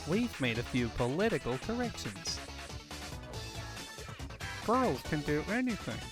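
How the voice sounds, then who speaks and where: A man's voice: a little high, big pitch swings, measured pace, music in the background. A man voicing a sassy, folksy young Southern rabbit woman in a cartoon-style comic dub. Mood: playful. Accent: American South. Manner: folksy, drawling.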